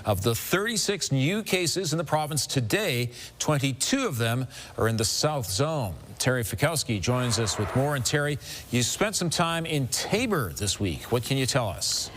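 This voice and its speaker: A man anchoring a local TV newscast, reading scripted stories in a crisp, measured way from a studio. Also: mid-range voice, sing-song, fairly bright tone, slight background noise. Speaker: news anchor.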